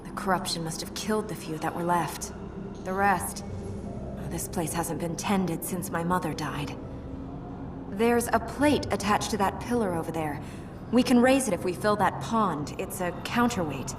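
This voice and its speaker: Actress as a dry young princess, slightly distant. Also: female voice, on the low side, normal speed, noisy background, lightly acted. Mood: earnest, matter-of-fact. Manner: deadpan, terse, matter-of-fact. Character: dry, determined young princess guiding a reckless companion. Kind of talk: video game cutscene acting. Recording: distant voice under music.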